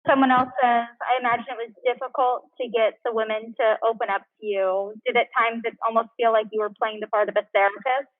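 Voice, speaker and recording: A woman moderator asking questions, reading out the audience's, in a plain measured way. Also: a little high, level tone, dry recording. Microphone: video call. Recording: tinny phone-like call audio, very dry.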